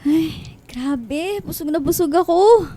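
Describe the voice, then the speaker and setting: An actress playing a chatty young student who is unsure in love, in a radio drama, with a Filipino accent. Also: female voice, very high-pitched, lively intonation, slow, warm tone, slight background noise, full character voice. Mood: light, chatty. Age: young adult. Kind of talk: radio drama acting with English code-switching.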